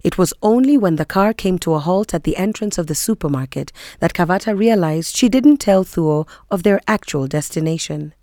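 A woman's voice: on the low side, animated, normal speed, hardly any pauses, a little mellow, dry recording, studio quality, lightly acted. A woman reading scripted narration from a novel.

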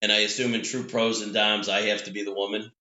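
Rambling podcast co-host, bright voice. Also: male voice, fairly low pitch, level tone, quick pace. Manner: rambling, self-interrupting, playful. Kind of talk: casual chat. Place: three-season back patio room at home. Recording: echoey room, dull lo-fi sound.

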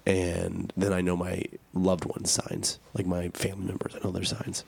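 An American man co-hosting a talk podcast in casual chat, joking and self-deprecating, with a raspy voice from a cold. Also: low voice, completely flat delivery, normal speed, darkish tone, slight background noise. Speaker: podcast and radio show co-host.

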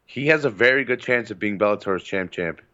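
A man's voice: fairly low pitch, brisk, pushed and bright, no room sound, dull audio. A man co-hosting a podcast, talking in a laid-back, agreeable way. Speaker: podcast co-host. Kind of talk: casual chat.

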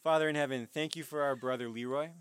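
A man's voice: medium pitch, steady tone, fast talker, smooth. A man who is a pastor, welcoming listeners, introducing a guest preacher and praying. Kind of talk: church welcome and prayer.

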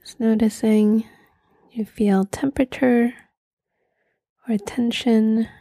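A woman guiding a meditation in a soft, sometimes breathy voice, gentle and soothing, with long pauses. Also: a little high, steady tone, no echo, clean studio sound. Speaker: meditation guide. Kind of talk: guided meditation.

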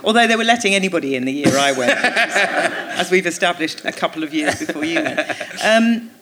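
A woman guest on a live theatre podcast, talking casually in a low voice, witty and self-mocking, laughing often. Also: expressive, a little fast, background noise. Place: theatre stage.

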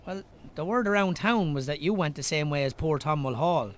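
Man acting friend, wry, bantering, higher-pitched male voice, radio drama. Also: animated, a little fast, natural voice. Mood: teasing, fond. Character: teasing, wry Irish local businessman and friend.

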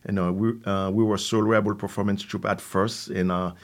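A man in his sixties with a Haitian accent, answering interview questions conversationally in a halting way with repeated words and asides. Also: fairly low pitch, level tone. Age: 60s. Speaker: theater company founder, guest.

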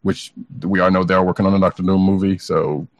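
A man's voice: low-pitched, flat delivery, quick pace, no room sound. A man co-hosting a podcast, chatting casually about entertainment news in a tentative, hedging way. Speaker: podcast co-host. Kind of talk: casual comic book news chat.

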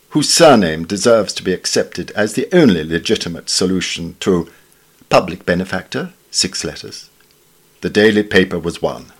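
A man giving a measured, formal scripted reading of witty literary essays. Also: sits low. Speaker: reader of newspaper essays.

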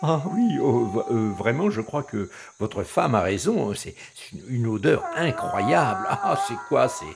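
A man's voice: medium pitch, sing-song, unhurried, creaky, heavy background noise. A man voicing a blunt male donkey who stammers and hesitates. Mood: disgusted.

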